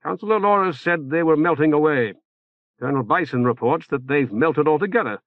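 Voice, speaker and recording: Formal civic leader acted by a male narrator, calm, articulate, reasoned, audiobook novel. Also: a little high, fairly quick, no echo, narrow, tinny audio, pitched above the performer's own voice. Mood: reasoned, firm.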